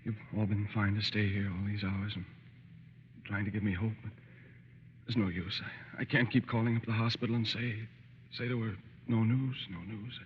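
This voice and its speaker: An actor playing a despairing young father in an old radio drama, halting and subdued. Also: fairly low pitch, little pitch movement, close to an everyday voice. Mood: defeated, grateful. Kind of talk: 1930s-40s radio drama acting. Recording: muffled, noisy old radio recording.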